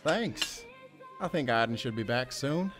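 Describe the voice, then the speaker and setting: Young male ranch hand, acted, audio drama. Medium pitch, wry, folksy, halting and rambling; music underneath. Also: expressive, fairly bright tone. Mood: friendly.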